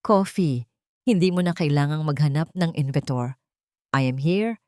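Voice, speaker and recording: A woman voicing a cold, composed wronged wife in an audio drama, speaking in steady declarations. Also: low voice, normal speed, no room sound, studio quality, close to an everyday voice. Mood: cold triumph. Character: cold, composed wronged wife taking back her company.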